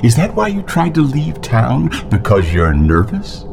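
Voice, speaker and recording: An actor playing a creepy, menacing male town elder who speaks in a slow, insinuating way. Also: medium pitch, huge pitch swings, vocal fry, over music, exaggerated voice.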